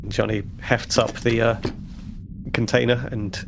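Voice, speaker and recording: A man with a gravelly, mid-pitched voice casually describes his character's actions in a tabletop game. Faint noise sits behind him. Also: little pitch movement, measured pace.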